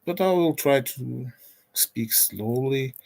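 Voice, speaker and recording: Portuguese-accented man in his forties; slow, hesitant livestreamer who restarts phrases. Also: mid-range voice, animated, creaky, a little mellow. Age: 40s. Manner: hesitant, pauses often with restarts and fillers. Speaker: streamer. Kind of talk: unscripted solo livestream chat.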